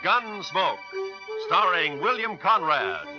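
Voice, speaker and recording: A man's voice: sits high, big pitch swings, takes it slowly. A man announcing a 1950s radio western in a bold, declamatory, dramatic style. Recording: dull old radio recording, loud music.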